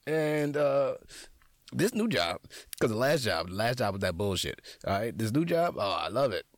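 A young man hosting a podcast who tells stories casually with lots of slang and profanity, his voice a little raspy. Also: medium pitch, moderate pace, no echo, clean studio sound. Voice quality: slightly raspy. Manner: profane, animated, slangy, talks straight to listeners. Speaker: podcast host. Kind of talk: casual storytelling monologue.

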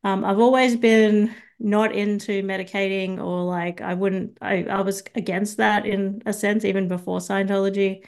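A young woman with an Australian accent, a guest on an interview podcast, speaking hesitantly with many ums and soft, trailing phrases. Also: mid-range voice, little pitch movement, normal speed, creaky, hardly any pauses, clear, resonant tone. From Sydney, Australia. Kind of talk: casual interview chat.

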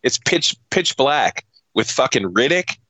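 A man's voice: mid-range voice, sing-song, measured pace, sharp tone, slightly rough, no room sound, rough recording. A man co-hosting a podcast in casual chat, swearing freely and emphatically in exasperation as he rants through a movie review. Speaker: podcast co-host.

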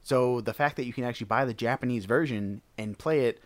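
A man in casual podcast chat about video games, animated and jokey with quick asides, from the United States. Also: mid-range voice, dry recording.

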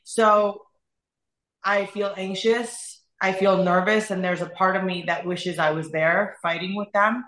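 Earnest woman from Iran, bright voice. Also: mid-range voice, fairly even intonation, echoey room, low-quality recording. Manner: earnest, halting, repeats phrases. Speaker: guest. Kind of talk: emotional personal testimony in conversation.

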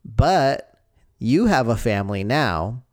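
A man's voice: mid-range voice, sing-song, slow pace, a little mellow, no echo, clean studio sound. A man chatting casually as a podcast host, reflective and giving advice. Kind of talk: casual conversation. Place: home.

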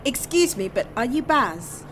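An actress playing an earnest, searching sister in a naturalistic audio drama. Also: female voice, on the high side, big pitch swings, a little slow, a bit bright, slight background noise. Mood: tentative.

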